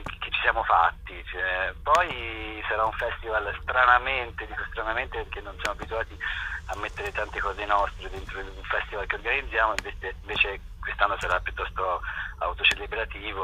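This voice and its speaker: A middle-aged man giving halting, reflective, spontaneous interview answers as a festival director, often repeating words. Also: on the low side, sharp tone, slight background noise, rough recording.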